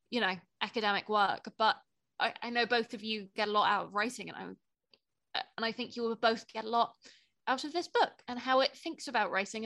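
A young woman co-hosts a relaxed book podcast. Her voice is very bright and sits a little high. She talks with enthusiasm, feeling her way through phrases as she goes. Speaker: podcast co-host. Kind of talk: casual book chat.

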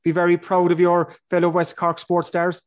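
A man's voice: high-pitched, monotone, moderate pace. A man with an Irish accent hosting a sports interview, repeating phrases as he builds long, conversational questions. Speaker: sports podcast host.

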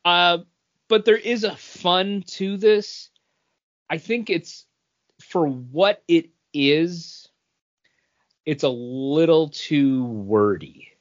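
A man's voice: a little high, animated, drawn-out words, long pauses. A man co-hosting a podcast, chatting casually through a comic book review in a rambling way that repeats phrases as he thinks. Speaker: podcast co-host. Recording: dull lo-fi podcast audio.

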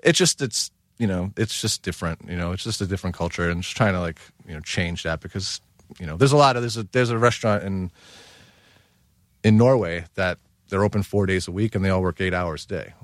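Male chef, lower register, rambling casual talker, dry close mic. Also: a little fast, dry recording. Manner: rambling, full of "like" and "you know". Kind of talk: casual chat.

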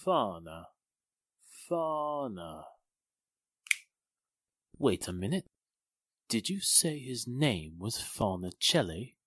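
A male actor performs an evasive businessman from a 1940s radio drama. His forceful voice swings widely in pitch with theatrical emphasis. The sound is close and dry. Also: sing-song, slow, long pauses, no room sound, exaggerated voice. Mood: dawning realization. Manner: theatrical, emphatic, faltering when challenged. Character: excitable, evasive businessman Mister Lawton, a murder suspect.

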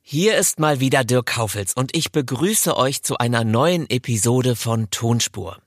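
A man hosts an audiobook talk show and interviews his guest. His voice sits at a medium pitch with some creak in it. The sound is close and dry, with almost no room. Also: no room sound. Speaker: audiobook podcast host and interviewer. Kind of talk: scripted introduction and interview.

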